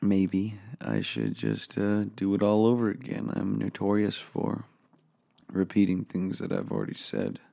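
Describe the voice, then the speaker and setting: A man hosting a casual solo podcast from his home office, rambling and swearing freely, with a hoarse voice. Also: sits low, big pitch swings, measured pace, warm tone. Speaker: podcast host.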